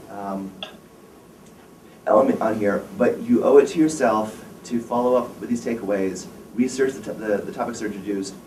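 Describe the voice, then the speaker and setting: A man's voice: lowish voice, mellow, recorded from a distance. A man in his fifties giving a career lecture to students, blunt and opinionated, quizzing the audience. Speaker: wealth management firm president giving a career talk. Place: lecture room. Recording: echoey room, recorded from afar, faint noise.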